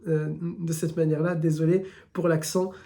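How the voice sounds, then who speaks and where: A man's voice: fairly high pitch, little pitch movement, normal speed, warm tone. A man with a southern French accent giving a live religious lesson, building his points through repeated lists and speaking straight to his listeners. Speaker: Islamic teacher.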